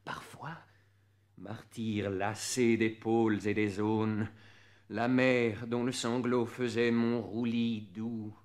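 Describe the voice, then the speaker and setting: A man giving a dramatic literary poetry recitation in measured phrases with drawn-out words, swinging between hushed delivery and loud declamation. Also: fairly low pitch, little pitch movement. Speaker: poetry reader, performer.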